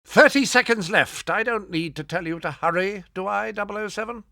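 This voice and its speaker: A fussy gadget expert, nagging a spy in a video game. The voice is high for a man and bright, prim and dryly sarcastic, in a close, dry recording. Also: a little fast, creaky, dry recording, exaggerated voice. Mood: dry reproach. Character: fussy, exasperated male gadget master advising a spy. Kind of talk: scripted video game voice acting.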